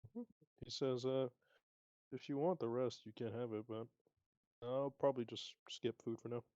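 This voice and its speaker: A man's voice: medium pitch, fairly even intonation, normal speed, warm tone, dry recording, highs cut off. A man speaking casually as a player in a tabletop roleplaying game, describing his character's words and actions.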